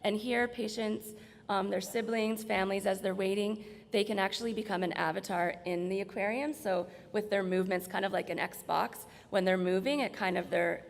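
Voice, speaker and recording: Woman, heavy vocal fry, slightly hoarse, conversational presenter, reverberant hall. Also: medium pitch. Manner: explanatory, conversational. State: slightly hoarse, losing her voice. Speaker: presenter from hospital staff. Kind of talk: informal slide presentation at a conference. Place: large indoor presentation room.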